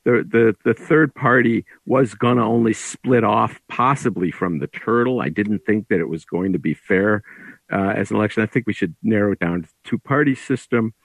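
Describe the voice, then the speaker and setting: A man speaking as an author in a conversational interview, explaining things through anecdotes in a husky voice. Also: lowish voice, moderate pace, no echo, low-quality recording. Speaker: author discussing his book.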